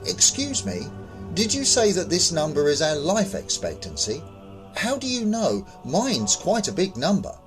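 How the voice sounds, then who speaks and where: A man's voice: on the high side, huge pitch swings, a little slow, over music, pitched above the performer's own voice. A man voicing a prim, fussy, indignant elderly churchgoing lady in a horror story. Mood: hopeful.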